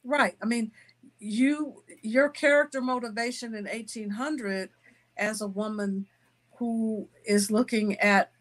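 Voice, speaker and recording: A woman talks as an author being interviewed. Her pitch is in the middle range for a woman. She answers conversationally, choosing her phrases deliberately and stressing her points like someone giving advice.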